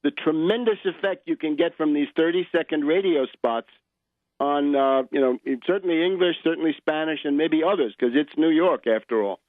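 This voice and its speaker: An American man with a slightly high voice hosts political talk radio. He is opinionated and sardonic, explaining like a professor and checking in with "right?". The sound is narrow and phone-like, with very little room in it. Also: normal speed, dry recording. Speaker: radio show host and political commentator.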